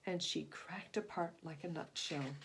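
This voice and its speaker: A woman reading a children's story aloud in an expressive, emphatic style, moving between hushed and booming delivery. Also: measured pace, gravelly. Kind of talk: expressive scripted storybook narration.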